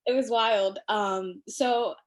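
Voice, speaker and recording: A young woman from Ethiopia talks in an animated, casual way, with plenty of "like" and "um" between her thoughts. Her voice sits at an ordinary middle pitch. She speaks over a video call from a living room at home. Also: slow. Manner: chatty, animated, lots of fillers and laughter. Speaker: podcast guest. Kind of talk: casual conversation.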